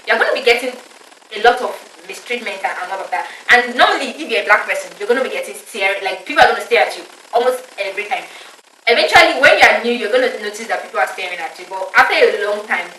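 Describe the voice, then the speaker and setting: Young woman from Nigeria, vlog host, chatty and fast, recorded a bit off-mic in a roomy space. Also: medium pitch, sharp tone, slight background noise. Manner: chatty, laughs often, many fillers. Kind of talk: casual chat. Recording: echoey room, mic at a distance.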